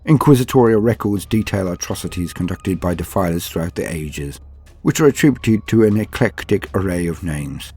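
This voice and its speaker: Male narrator for dark war-game lore: husky low voice, solemn and ominous formal storytelling over music. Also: animated, moderate pace. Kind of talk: scripted dramatic lore narration.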